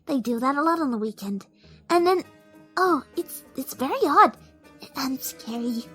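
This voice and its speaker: A woman voicing a timid, soft-spoken, kind young female pegasus pony in a cartoon audio drama, hesitant and apologetic. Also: high voice, animated, unhurried, soft background music, exaggerated voice. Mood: worried. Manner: hesitant, apologetic, gently stammering.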